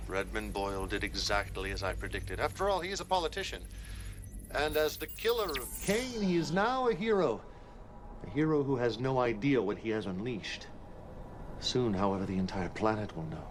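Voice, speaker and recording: Clear ambient noise sits behind an actor playing a messianic male cult leader in a video game. He is calm and calculating, with a slightly low voice and grandiose, measured phrasing. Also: close to an everyday voice. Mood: cool assurance. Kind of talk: dramatic video game cutscene acting.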